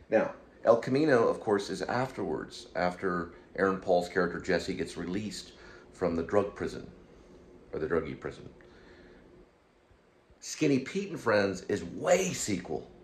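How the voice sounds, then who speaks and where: A man hosting his own online show, giving rambling, unscripted commentary in a halting, digressive way, as if thinking aloud. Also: sits low, a little mellow. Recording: clear background noise.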